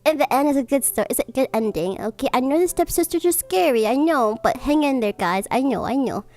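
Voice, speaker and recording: A woman's voice: high voice, expressive, a little fast, a little mellow. A woman livestreamer with a cutesy, gushing way of talking, chatting to viewers and reading bedtime stories. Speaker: streamer, host.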